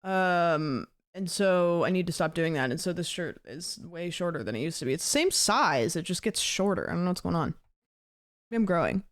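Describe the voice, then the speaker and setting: A woman's voice: on the low side, expressive, moderate pace, no echo. Chatty woman streamer in her late twenties. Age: late 20s. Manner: rambling, chatty, lots of fillers. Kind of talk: casual chat with viewers.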